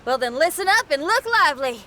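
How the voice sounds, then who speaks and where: High-voiced, cool young rock musician. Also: female voice, very animated, fairly quick, bright, forceful tone, slight background noise, full character voice. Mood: confident, intriguing. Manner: casual, assured. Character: cool, shrewd young female rock musician, confident, teasing. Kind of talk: video game cutscene acting.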